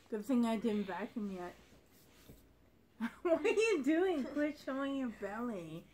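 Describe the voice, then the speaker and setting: A woman's voice: a little high, big pitch swings, slow, darkish tone, slight background noise. A middle-aged woman hosting a casual home video, chatting through a snack tasting and reading packet labels aloud in a puzzled way. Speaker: channel host. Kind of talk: casual food tasting chat. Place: living room at home, indoors. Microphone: camera on a tripod across the table. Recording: music playing in the background.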